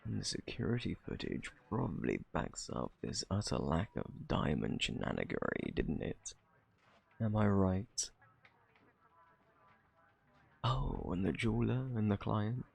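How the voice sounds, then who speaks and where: Man acting a gravelly, flirty British thief. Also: on the low side, sing-song, full character voice. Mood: smug, challenging. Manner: playful, taunting, drawn-out theatrical delivery. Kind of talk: ASMR roleplay, intimate scripted monologue.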